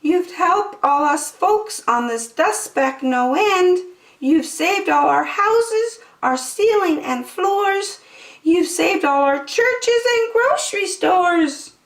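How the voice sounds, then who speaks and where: A tiny, squeaky-high storybook mayor. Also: female voice, lively intonation, takes it slowly, echoey room, distant mic, exaggerated voice. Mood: grateful. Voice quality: high, thin, piping. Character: tiny polite grateful mayor of a speck-sized town.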